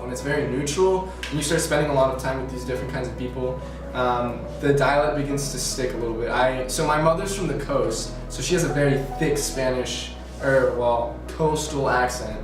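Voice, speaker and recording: A young man from Colombia talks through interview answers, rambling a little and correcting himself as he goes. He is heard from a distance in a very echoey room, with music playing clearly behind him. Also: mid-range voice, fairly quick, few pauses. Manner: rambling, self-correcting, many fillers. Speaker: student interviewee.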